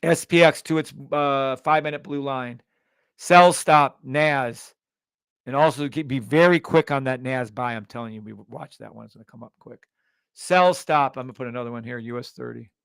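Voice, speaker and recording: A middle-aged man hosting a live trading stream, calling out orders in quick bursts with brash, profane commentary. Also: mid-range voice, gravelly, clear, resonant tone, dry recording, clean studio sound.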